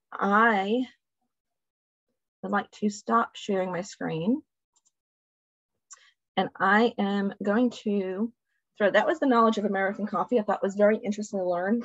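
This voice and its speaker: A woman hosts a class over a video call. The audio is dull and dry, with no room sound. She talks at a middle pitch and often breaks off mid-sentence to start again. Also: normal speed, long pauses, no room sound. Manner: loose, restarting sentences with "um" fillers. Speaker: host. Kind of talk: hosting an online class.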